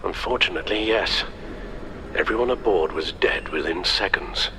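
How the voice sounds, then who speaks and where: An actor playing a loyal, worried butler and confidant in a video game, speaking formally and solemnly with careful wording. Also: male voice, low voice, moderate pace, background noise, close to an everyday voice. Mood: grave. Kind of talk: dramatic video game acting.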